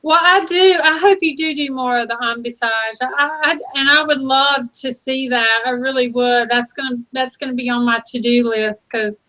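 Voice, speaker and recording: A woman hosting a live online interview, enthusiastic and quick to laugh. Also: high voice, fairly even intonation, bright, forceful tone, low-quality recording. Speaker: host.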